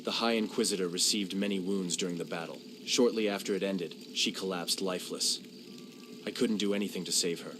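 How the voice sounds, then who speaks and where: A man's voice: sits low, fairly even intonation, fairly quick, music in the background, lightly acted. A man playing a stern, curt commander in a fantasy game cutscene. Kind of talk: scripted video game cutscene acting.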